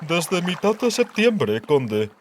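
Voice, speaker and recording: A man's voice: fairly high pitch, sing-song, takes it slowly, slight background noise, pitched above the performer's own voice. A man voicing a haughty, courteous aristocratic ambassador in a dramatized fantasy audiobook, with formal, ceremonious speech. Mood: formal, stiff. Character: haughty aristocratic male ambassador, courteous diplomat hiding resentment.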